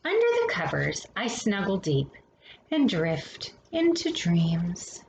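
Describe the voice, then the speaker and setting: Female storytime host for children's books: very animated delivery, hushed phrase endings, echoey room. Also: mid-range voice, takes it slowly, creaky. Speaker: storytime host reading a picture book aloud. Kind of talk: expressive children's storybook reading. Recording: roomy echo with faint background noise.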